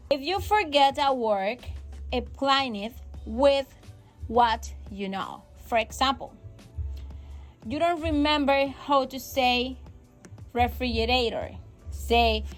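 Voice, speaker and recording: A woman whose first language is Spanish, hosting a casual English-learning podcast. She is encouraging, repeats key phrases and makes small grammar slips. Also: high-pitched. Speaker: English teacher and podcast host. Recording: faint music in the background.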